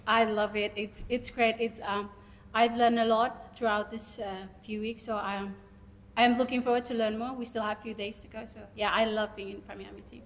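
A woman's voice: a little high, flat delivery, normal speed. A woman cricket player giving casual answers at a post-match press conference. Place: indoor press conference room. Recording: long room reverb, faint noise.

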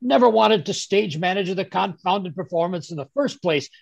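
Guy doing a fussy old man in a radio play, blustery and stammery, creaky voice, dull call audio. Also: high-pitched, expressive, moderate pace, no echo, exaggerated voice. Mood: indignant. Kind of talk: 1930s radio drama acting. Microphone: video call.

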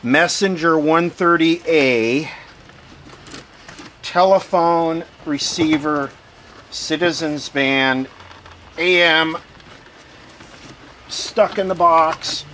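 Guy doing a laid out unboxing commentary, talks real slow in short bits, mid pitch, bit of noise behind him. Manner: musing, unhurried, pausing between short phrases. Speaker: vlogger unboxing vintage radio gear. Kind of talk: casual hands-on unboxing commentary. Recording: steady background noise.

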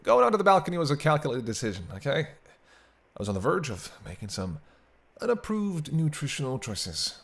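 A man's voice: on the high side, sing-song, moderate pace, creaky. A man playing a teasing, century-old vampire in an intimate roleplay audio drama, bantering wryly with hesitant asides. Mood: hesitant, defensive. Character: teasing century-old male vampire, sardonic but soft-hearted.

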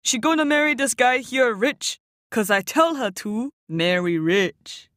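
A woman's voice: fairly high pitch, animated, unhurried, fairly bright tone, no room sound, clean studio sound, pitched above the performer's own voice. A woman voicing a boisterous middle-aged uncle who laughs at his own joke, speaking broken English.

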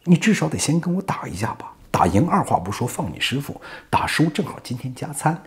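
A man's voice: medium pitch, very animated, fairly quick, pitched above the performer's own voice. A man voicing a brash, mocking ox-demon king in a storytelling, with sarcastic, slangy taunts. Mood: taunting.

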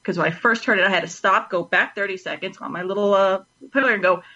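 A woman with a mid-pitched voice chats on a panel podcast. She is animated and rambles, breaking into her own sentences, and the audio is somewhat dull. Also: quick pace. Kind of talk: casual chat.

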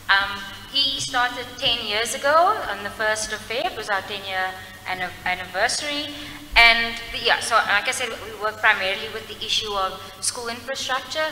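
A young woman from South Africa giving a public presentation at a podium mic, halting and correcting herself as she goes. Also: mid-range voice, pushed and bright. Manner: halting, self-correcting, apologetic asides. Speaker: civil society presenter. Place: large hall, indoors. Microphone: mic on a stand at a podium. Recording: echoey hall from a distance.

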